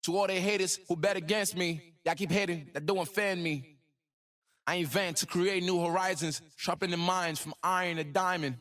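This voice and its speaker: A man's voice: high voice, fairly even intonation, moderate pace, fairly bright tone. A man voicing a cocky young rapper prodigy in a video game, delivering rhymed boasts with a swaggering, rhythmic flow. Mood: proud, lyrical. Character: cocky young gifted male rapper prodigy, playful, proud. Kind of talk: rhymed, rap-like game voice lines.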